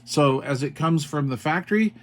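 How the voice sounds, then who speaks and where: A man's voice: a little high, expressive, moderate pace. Man giving a casual how-to. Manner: informal, explanatory, checks in with "all right" and "okay". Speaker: radio product demonstrator. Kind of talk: casual hands-on tutorial.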